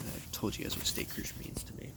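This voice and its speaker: A man's voice: a little fast, whispered. A man chatting casually to viewers in a goofy, self-mocking, easygoing way. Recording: loud background noise.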